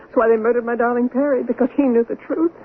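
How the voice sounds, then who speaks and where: An actress in a 1970s radio drama playing a bitter, defensive, hard-drinking wife with a melodramatic manner. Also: female voice, a little high, steady tone, lightly acted. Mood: bitter, desperate. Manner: halting, melodramatic. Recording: tinny narrow-band 1970s radio recording, noisy.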